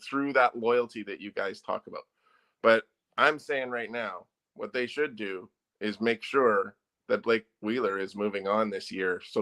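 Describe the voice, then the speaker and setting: A man's voice: lowish voice, fairly bright tone. A man who is a newspaper sports editor, a guest on a sports talk show, stating firm, emphatic opinions.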